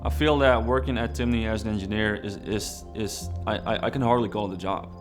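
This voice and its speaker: Lower-voiced Belgian-born man over guitar music. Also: a little fast. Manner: relaxed, reflective, with frequent fillers and restarts. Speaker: trigger design engineer. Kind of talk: documentary-style personal narration. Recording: music bed underneath.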